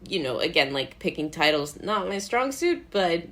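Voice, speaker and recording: Low-pitched American woman chatting casually. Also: very animated, normal speed, fairly bright tone. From Brooklyn, United States. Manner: informal, rambling, self-deprecating, amused. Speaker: interview guest, playwright. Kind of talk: casual interview chat.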